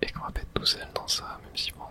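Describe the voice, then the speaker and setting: A gamer speaks in a breathy, unvoiced whisper with a bright, crisp edge. They narrate their play in an ASMR style, murmuring small reactions and mild curses as they go. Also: fast. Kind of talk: whispered ASMR video game let's play.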